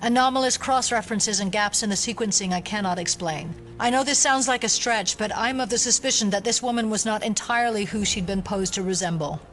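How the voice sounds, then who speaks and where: A woman's voice: medium pitch, brisk, few pauses, a bit bright, soft background music, lightly acted. A woman playing a determined, sceptical FBI agent, formal and insistent, in an audio drama. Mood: firm, challenging.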